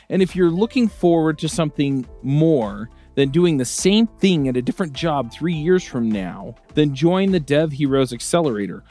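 Lively man reading a promo over music. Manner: upbeat pitch, lively delivery. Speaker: advertiser. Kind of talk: scripted ad read. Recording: light music underneath.

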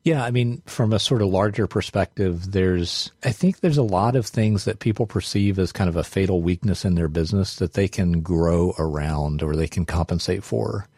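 A man's voice: low-pitched, normal speed, few pauses, warm tone, husky, no room sound, clean studio sound. A man co-hosting a podcast in casual conversation, reflective and thinking aloud as he works through ideas. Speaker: podcast co-host, consultant.

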